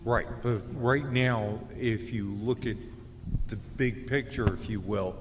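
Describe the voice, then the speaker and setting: An outspoken man with a booming voice, speaking as a resident at a public meeting podium. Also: slow. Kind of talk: public comment. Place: city meeting hall. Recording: echoey hall with faint room noise.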